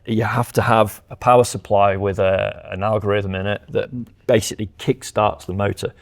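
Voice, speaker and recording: Male product specialist, low register, explains engineering step by step as he demonstrates. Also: level tone, moderate pace, creaky. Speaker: company representative presenting audio products.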